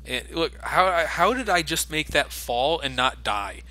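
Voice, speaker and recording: A man's voice: a little high, animated, a little slow, bright, forceful tone, slight background noise. A man giving casual, sarcastic commentary over a video game, joking about its flaws. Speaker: let's play commentator.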